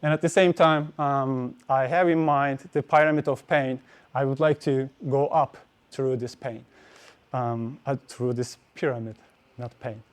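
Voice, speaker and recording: A man's voice: mid-range voice, steady tone, unhurried, smooth. A man giving a technical conference talk, hesitant, with many fillers and restarts. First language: Turkish. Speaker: conference speaker.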